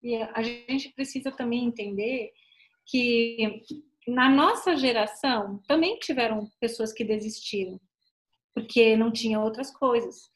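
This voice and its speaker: A woman's voice: on the high side, measured pace, low-quality recording. A middle-aged woman with a Brazilian accent speaking as a missionary guest in a casual interview, explaining things and often checking with short tag questions.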